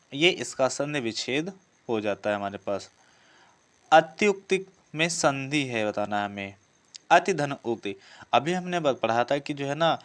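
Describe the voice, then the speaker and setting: A man speaks as a teacher taking students through practice questions. His voice is clear and smooth, at a middle pitch. He restates each question, then gives the answer in an instructional way. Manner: repeats each question before giving the answer. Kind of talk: instructional lesson, working through quiz questions.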